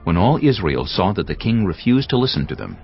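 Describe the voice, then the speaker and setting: A man narrating scripture from a script in a measured, solemn manner. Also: lowish voice, very animated. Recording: dull studio recording over background music.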